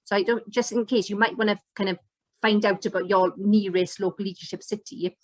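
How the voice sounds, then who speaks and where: A woman's voice: medium pitch, fairly even intonation, brisk, no room sound. Enthusiastic Welsh woman presenting online. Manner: enthusiastic, loose with many fillers and restarts. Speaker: educator and presenter. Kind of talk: online course presentation over slides. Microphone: webcam on video call.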